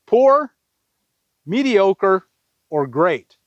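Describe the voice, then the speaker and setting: A man's voice: high-pitched, sing-song, very slow, no room sound, highs cut off. A man hosting a casual product review, talking plainly to viewers and repeating words for emphasis. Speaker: video host reviewing products.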